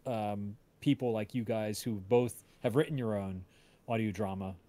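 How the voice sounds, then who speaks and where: A man's voice: lowish voice, moderate pace, warm tone. A man hosting a casual livestream, talking in a rambling, hesitant way. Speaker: audio drama creator hosting livestream.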